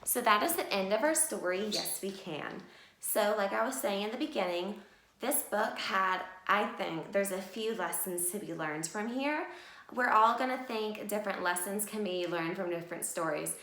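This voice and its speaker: Expressive woman teacher reading in an echoey room. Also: mid-range voice, moderate pace. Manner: expressive, addressing young pupils simply. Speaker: teacher reading a picture book aloud. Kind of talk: children's read-aloud and mini lesson.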